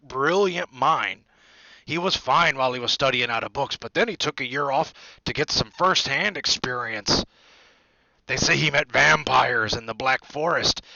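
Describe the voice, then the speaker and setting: A man voicing a huge, gruff, good-natured giant with a loud, blunt, colloquial way of talking, in an audiobook story. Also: mid-range voice, dry recording, lo-fi sound, exaggerated voice.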